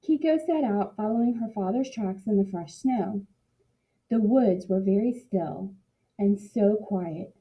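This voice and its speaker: A woman narrating a picture book for children in an expressive read-aloud style, like a teacher in class. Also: medium pitch, unhurried, dull audio.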